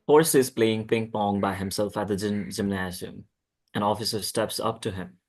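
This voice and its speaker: A man's voice: fairly low pitch, normal speed. A man narrating the stage directions of a screenplay at a table read.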